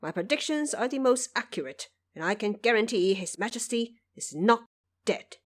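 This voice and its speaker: A woman's voice: fairly high pitch, animated, normal speed, lightly acted. Woman playing a self-assured prime minister. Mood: confident. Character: calm, self-assured male prime minister, loyal scholar-official. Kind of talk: audio drama character voice.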